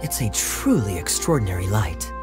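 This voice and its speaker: Game role: sly, observant gentleman, wry, lively intonation, music behind. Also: lowish voice, moderate pace. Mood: knowing, wry. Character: suave, sly, perceptive man. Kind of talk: video game cutscene voice acting.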